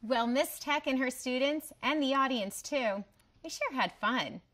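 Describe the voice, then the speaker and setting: A woman's voice: high voice, expressive, a little slow, fairly bright tone, some chatter behind. A woman delivering scripted promotional narration as a presenter. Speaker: host presenter.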